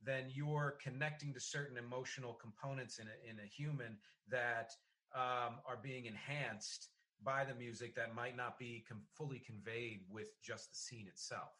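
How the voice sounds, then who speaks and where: A man in a conversational interview, talking in an analytical, halting way with emphasis on key words, as a podcast host would. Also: medium pitch, fairly even intonation, sharp tone. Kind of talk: conversational interview about music and film.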